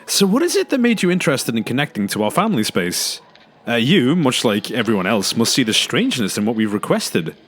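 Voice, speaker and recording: A man's voice: mid-range voice, big pitch swings, brisk, breathy edge. An actor playing a courteous, inquisitive family man, speaking politely and tentatively in an audio drama. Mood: curious, probing. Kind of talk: naturalistic audio drama dialogue.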